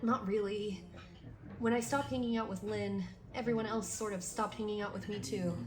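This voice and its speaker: A woman playing a friendly, lonely high school senior in an audio drama, earnest and candid. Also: fairly even intonation, normal speed, darkish tone, slight background noise, natural voice. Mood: candid, confiding.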